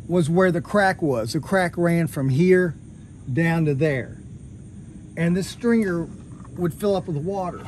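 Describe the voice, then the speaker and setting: A man's voice: on the high side, slight background noise. A middle-aged man in a casual how-to style, explaining his boat repair step by step while recording outdoors at close range in a boatyard. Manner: relaxed, explanatory, walking through each step. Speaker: boat refit vlogger. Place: outdoor boatyard under a hull. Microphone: handheld camera at close range.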